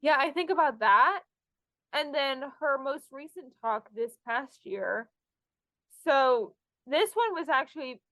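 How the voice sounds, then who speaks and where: Woman podcast host, kinda high voice, enthusiastic fan chat, dry sound. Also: a bit bright, dry recording, poor audio. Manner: chatty, enthusiastic. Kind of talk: casual fan chat and anecdotes.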